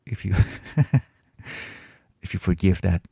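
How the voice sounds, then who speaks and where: A man whose first language is Farsi, hosting a casual Q&A and answering viewer questions in a thoughtful way, often pausing and restarting his phrases. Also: low voice, vocal fry, warm tone, husky, no echo, studio quality. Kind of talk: casual reflective Q&A monologue.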